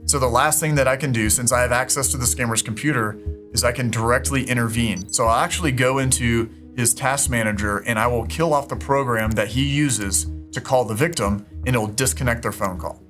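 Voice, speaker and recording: A man's voice: medium pitch, fairly quick, music in the background. A man hosting an online show, explaining things to viewers in a narrating style. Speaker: host of a scam-baiting show. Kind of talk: narration to viewers with sponsor read.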